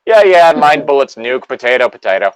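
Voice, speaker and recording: A man's voice: mid-range voice, big pitch swings, a little fast, clear, resonant tone, poor audio. A man chatting casually with friends on a voice call, rambling and swearing freely as he goes.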